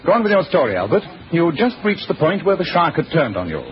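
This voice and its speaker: An actor playing a keen, observant English gentleman detective with dry, precise speech, in 1940s radio style. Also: a little high, very animated, quick pace, slight background noise. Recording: muffled old radio recording.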